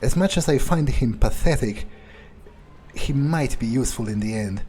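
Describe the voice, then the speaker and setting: A scheming vampire, played by a man muses aloud through a video game. He has a medium-pitched male voice, hesitant and condescending, as he improvises in character. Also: expressive, normal speed, mellow, faint music behind, lightly acted. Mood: dismissive, calculating.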